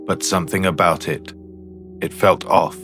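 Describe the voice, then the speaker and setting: A man plays a haunted signal technician with an extremely low voice, narrating a first-person horror story. The delivery is deliberate and comes in short, broken phrases. Music sits clearly behind the voice. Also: fairly even intonation, lightly acted. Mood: haunted, uneasy.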